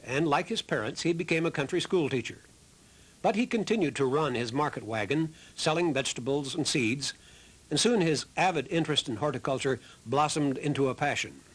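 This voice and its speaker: Give me a man with a slightly high voice, reading scripted documentary narration.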